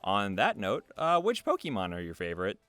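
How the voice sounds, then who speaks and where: Male interview host, very expressive melody, upbeat scripted read with frequent chuckles, music bed. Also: on the low side, fairly quick, clean tone, no echo. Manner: chuckles often between lines. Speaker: host reading an artist's written interview answers. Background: background music.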